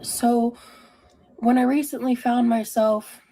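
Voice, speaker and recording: A woman with a fairly high voice and a very soft, mellow tone speaks in a personal confessional monologue. She is self-reflective and halting as she works through her thoughts. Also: fairly even intonation.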